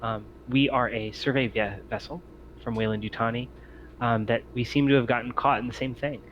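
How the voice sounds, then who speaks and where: A man voicing a very calm, hesitant Australian male synthetic aboard a stranded spaceship in a tabletop game. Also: mid-range voice, brisk, highs cut off, slight background noise, close to an everyday voice. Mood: calm, courteous.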